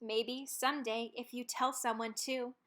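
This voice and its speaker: A woman's voice: fairly high pitch, little pitch movement, unhurried, a bit bright. A woman reading a rhyming children's picture book aloud, expressively and with dramatic emphasis on key words. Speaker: storybook reader.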